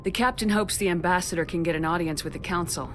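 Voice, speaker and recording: Actress as female space-navy commander, low pitch, composed, direct, serious, game dialogue, music underneath. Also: quick pace, natural voice. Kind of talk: dramatic video game dialogue.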